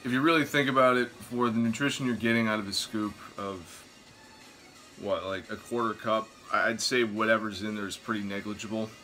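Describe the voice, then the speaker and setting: A man in his twenties talking casually to camera in a vlog, relaxed and a bit self-deprecating, first from a parked car, then in a small kitchen. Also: fairly low pitch, a little fast, faint music behind. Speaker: vlogger.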